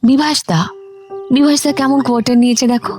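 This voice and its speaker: অভিনেত্রীর কণ্ঠে চড়া গলার চপল, প্রেমিকা তরুণী. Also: female voice, sits high, steady tone, a little fast, a little mellow, soft background music, natural voice. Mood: flirtatious, coaxing. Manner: coquettish, teasing. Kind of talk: radio drama acting.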